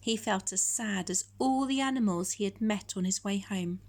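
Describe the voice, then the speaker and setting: A woman reading a children's story in a measured, expressive storytelling manner. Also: medium pitch. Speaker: storytime reader. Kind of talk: scripted children's story narration.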